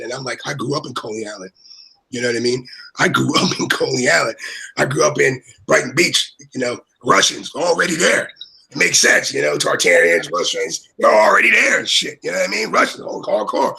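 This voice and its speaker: Raspy, excitable Brooklyn man. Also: on the high side, rough recording. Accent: New York. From Brooklyn, New York. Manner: profane, excitable, repeats "you know what I mean". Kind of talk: casual video-call chat.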